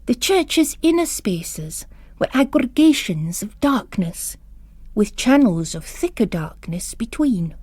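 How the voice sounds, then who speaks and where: A woman narrating literary fiction in a dramatic, expressive, deliberate way. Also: fairly high pitch, no echo, clean studio sound.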